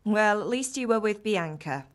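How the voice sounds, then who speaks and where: A woman's voice: medium pitch, normal speed, close to an everyday voice. An actress playing a middle-aged village mother in a medieval game, who scolds and teases with affection. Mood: teasing, chiding. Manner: nagging, teasing, animated. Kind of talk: dramatic video game dialogue.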